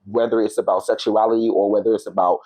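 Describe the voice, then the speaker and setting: A man in his thirties with a fairly low voice, recorded dry and close. He talks casually and openly about himself as an interview guest. His delivery is reflective, dotted with small check-ins to the listener. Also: little pitch movement, fast talker, mellow, dry recording. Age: 30s. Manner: reflective, open, peppers talk with "like", "you know" and "right?". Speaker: podcast guest. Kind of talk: candid, casual personal conversation.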